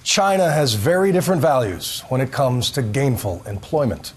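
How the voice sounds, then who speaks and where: A man's voice: mid-range voice, animated, normal speed, husky. A man reading scripted news narration as a television reporter.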